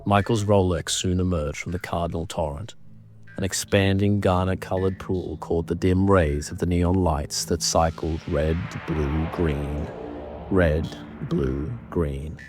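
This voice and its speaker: Deep-voiced man narrating horror, grave. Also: fairly even intonation, a little mellow, faint music behind. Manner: grave, deliberate, ornate delivery. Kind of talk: dramatic scripted horror narration.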